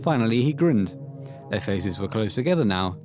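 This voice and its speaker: A man narrating a scripted horror story for an audio play in a measured, literary storytelling manner. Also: fairly low pitch, expressive, over loud music, lightly acted.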